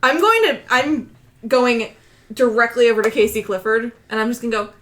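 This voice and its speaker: A woman's voice: on the high side, moderate pace, a bit bright. A woman playing a tabletop roleplaying game, bantering casually with a playful, informal manner.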